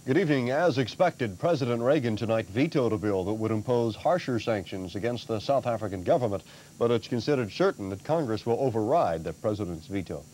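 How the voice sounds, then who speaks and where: A man's voice: on the low side, lively intonation, fairly quick, a little mellow, poor audio. An American man reading scripted television news as an anchor, on a 1980s broadcast. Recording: 1980s TV broadcast, faint noise.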